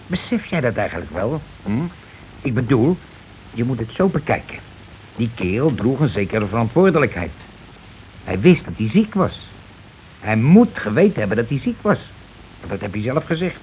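A man's voice: medium pitch, close to an everyday voice. A man acting in a radio play as a bossy, blustering working-class husband who argues colloquially and keeps demanding agreement. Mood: indignant, blustering. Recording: dull, noisy old radio recording.

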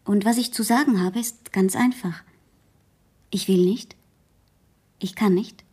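A woman's voice: mid-range voice, a little slow, dark tone, breathy edge. An actress playing an outspoken, proud teenage girl in a German radio play, speaking earnestly and frankly. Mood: earnest, firm.

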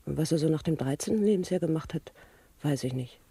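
A woman playing a drug-addled, indifferent mother in a satirical radio drama, husky, rambling and blasé. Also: deep voice, lively intonation, fast talker, dark tone, no echo, full character voice. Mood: wired, blasé.

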